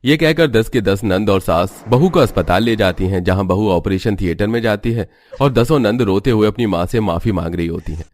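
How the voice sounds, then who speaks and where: A man's voice: lowish voice, moderate pace, few pauses, darkish tone, no room sound. A man narrating a scripted cartoon story.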